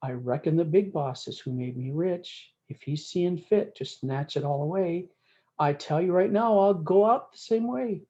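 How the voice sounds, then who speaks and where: A woman's voice: lowish voice, moderate pace, warm tone, dull audio, lightly acted. A woman voicing a humble, steadfast cowboy at prayer in a storybook, resigned and plain-spoken. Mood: resigned, faithful.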